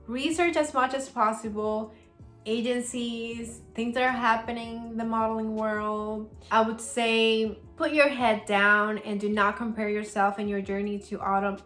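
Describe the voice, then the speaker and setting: A woman's voice: mid-range voice, a bit bright. A young woman from El Salvador, chatting casually as she answers viewer questions, full of "like" fillers. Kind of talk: casual Q&A vlog. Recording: roomy sound with faint music.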